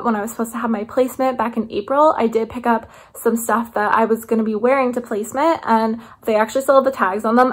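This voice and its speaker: Girl in her 20s doing a casual haul vlog, kinda high voice, chatty and keen. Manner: chatty, enthusiastic. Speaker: vlogger. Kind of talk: casual haul chat to camera. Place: small living room indoors.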